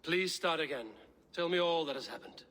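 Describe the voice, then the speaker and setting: An actor playing a calm, authoritative Viking leader in a video game, speaking in a measured, commanding way. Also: male voice, a little high. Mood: firm, measured. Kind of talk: dramatic video game acting.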